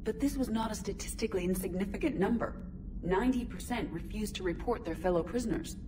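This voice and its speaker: A woman voicing a calm, precise ship AI. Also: medium pitch, fast talker, noisy background, natural voice. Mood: analytical. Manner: even, clipped, formal diction. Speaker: starship AI.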